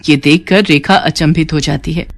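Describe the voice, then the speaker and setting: Lowish-voiced woman narrating a story. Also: normal speed. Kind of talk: scripted moral-story narration.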